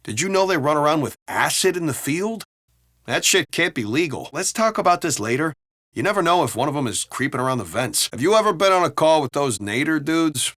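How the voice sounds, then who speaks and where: An actor playing a foul-mouthed, sardonic and excitable American city cop in a video game. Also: male voice, a little high, brisk, dry recording, clean studio sound. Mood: irritated, uneasy. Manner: animated, sardonic, profane cop banter. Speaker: video game police officer. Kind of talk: game voice lines, banter and barks.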